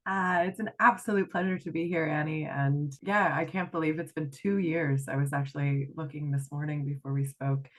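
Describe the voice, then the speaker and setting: Low-voiced Canadian woman with an Irish lilt. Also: steady tone, normal speed, few pauses. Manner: reflective, with fillers and asides. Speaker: guest, life coach. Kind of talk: conversational interview.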